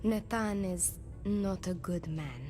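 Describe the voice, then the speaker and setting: A woman's voice: fairly low pitch, slow, music in the background. An actress playing a sick, anxious young runaway woman, wary and wronged, in a video game. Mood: confiding, fearful. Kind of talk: video game dialogue acting.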